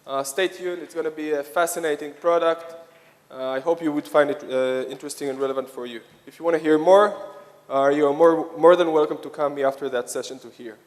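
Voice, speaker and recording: Halting Israeli man presenting in a reverberant hall. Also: a little high, steady tone. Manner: halting, frequent hesitations and repeated phrases. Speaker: conference speaker, software product lead. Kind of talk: conference presentation to an audience. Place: conference hall, speaking to an audience. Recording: echoey hall with long reverb.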